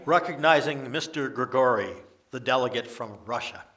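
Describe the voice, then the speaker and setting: A man's voice: medium pitch, moderate pace, crowd noise, lightly acted. Formal male assembly chairman, off-mic an actor. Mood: official. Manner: formal, official, ceremonious. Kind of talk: radio theater acting. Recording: distant mic in front of audience.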